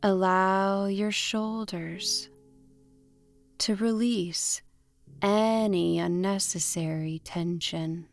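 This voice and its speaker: A woman leads a guided relaxation at an extremely unhurried pace. Her delivery is gentle and deliberate, leaving long pauses between short phrases. Soft instrumental music plays behind her voice. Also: mid-range voice, fairly even intonation. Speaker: meditation guide. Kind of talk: guided imagery meditation narration.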